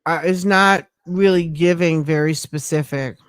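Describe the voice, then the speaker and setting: A woman hosting a podcast, chatting casually and firing off quick, probing questions. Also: deep voice, smooth, dry recording, clean studio sound. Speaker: podcast host.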